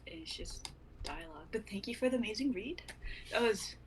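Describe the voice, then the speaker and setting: A woman's voice: mid-range voice, expressive, normal speed. A young woman chatting casually and self-deprecatingly, as a playwright on a livestream. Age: young adult. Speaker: playwright on a livestream reading show. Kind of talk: casual chat. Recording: clear noise, keyboard clicks, home stream.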